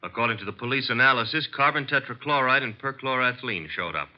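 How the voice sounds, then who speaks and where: A brisk, wisecracking detective's assistant played by a man with an American accent. His mid-range voice carries a radio mystery on a slightly muffled vintage recording. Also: medium pitch, natural voice. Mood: sharp, businesslike. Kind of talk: old-time American radio drama acting.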